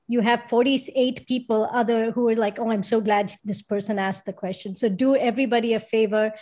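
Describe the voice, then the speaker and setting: A woman organizer welcoming students to an online course, encouraging them to ask questions. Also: a little high. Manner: warm, encouraging. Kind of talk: welcoming remarks on a video call.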